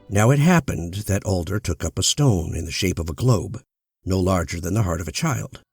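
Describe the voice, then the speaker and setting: Deep-voiced man narrating a fantasy audiobook. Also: slightly rough. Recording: loud music bed under voice.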